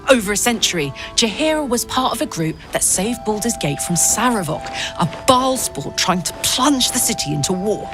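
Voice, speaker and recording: A woman playing an excitable, starstruck young warrior from the rough outer city in a fantasy game drama. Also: medium pitch, natural voice. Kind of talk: voiced fantasy game drama, narration and dialogue. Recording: music behind the voice. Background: music.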